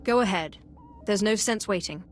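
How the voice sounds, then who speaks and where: An actress playing a cool, commanding dark sorceress in a game, curt and controlled. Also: female voice, mid-range voice, moderate pace, soft background music, lightly acted. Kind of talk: dramatic game cutscene acting.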